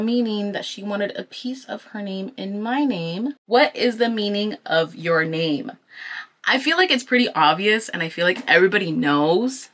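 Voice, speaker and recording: A woman in her twenties with a Southern California accent, chatting informally and with plenty of slang as she vlogs. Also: medium pitch. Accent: Southern California American. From Anaheim, California, United States. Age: 20s. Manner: informal, slangy, animated when quoting people. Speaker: vlogger. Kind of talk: casual chatty get-ready-with-me talk.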